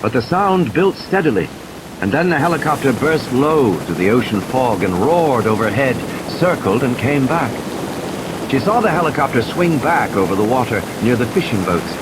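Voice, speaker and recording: A man reads dramatic narration for an audio play. His mid-pitched voice moves up and down expressively as he tells the story. There is a faint layer of hiss behind him. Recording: hissy, noisy background.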